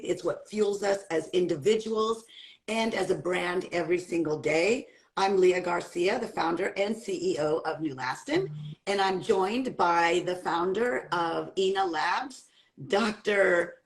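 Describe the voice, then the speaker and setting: A woman hosting a live video-call panel, welcoming guests in an upbeat way. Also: on the low side. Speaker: panel host, company founder.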